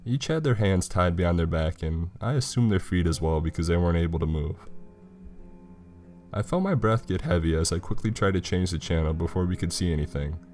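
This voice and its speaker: A man's voice: deep voice, animated, brisk, darkish tone. A man reading a scripted horror story as its narrator. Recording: music behind the voice. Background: background music.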